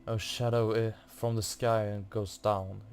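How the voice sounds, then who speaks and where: A man's voice: fairly low pitch, level tone, unhurried, a little mellow, soft background music. A man giving casual gameplay commentary, thinking aloud in a hesitant, muttering way. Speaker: gamer playing through a quest.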